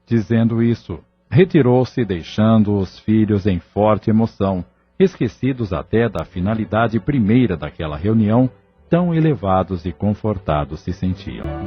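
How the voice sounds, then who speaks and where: A man's voice: on the low side, natural voice. A Brazilian man reading scripted narration for an audio novel in a solemn, formal way. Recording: dull lo-fi audio with music underneath.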